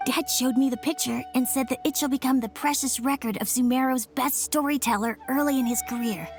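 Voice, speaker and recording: Young daughter game character, childlike, sincere, high voice, hesitant, faint music. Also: female voice, steady tone, brisk. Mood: nostalgic, earnest. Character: earnest, gentle young daughter, a little girl storyteller. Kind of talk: video game voice acting.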